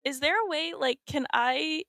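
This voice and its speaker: A woman's voice: high voice, a little slow, a bit bright, no echo, clean studio sound. A woman chatting casually as a tabletop game player, tentatively piecing her ideas together aloud. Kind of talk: casual improvised game chat.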